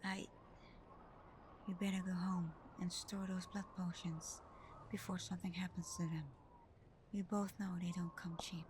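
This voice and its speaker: Casting: actress as tender, wounded female vampire lover for ASMR roleplay; soft half-whisper, unhurried hesitant delivery, faint noise behind. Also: fairly low pitch, level tone, natural voice. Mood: guarded affection. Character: caring, hurt vampire girlfriend. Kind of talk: ASMR roleplay, intimate scripted acting.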